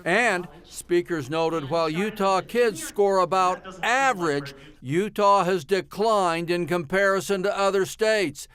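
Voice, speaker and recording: A man's voice: high voice, a little slow, a bit bright, clean tone, no echo. A man delivering a television news report with emphatic, punchy phrasing. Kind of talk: news report, live and scripted.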